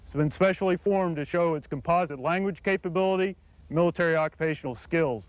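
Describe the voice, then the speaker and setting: Crisp officer giving a formal briefing. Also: male voice, a little high, brisk, no echo, low-quality recording. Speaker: army captain presenting his unit. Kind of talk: formal scripted military briefing.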